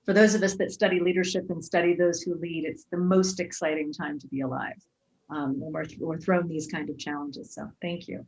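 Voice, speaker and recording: A woman's voice: low voice, steady tone, brisk, a little mellow, rough recording. A woman moderating a panel discussion, earnest and inquisitive.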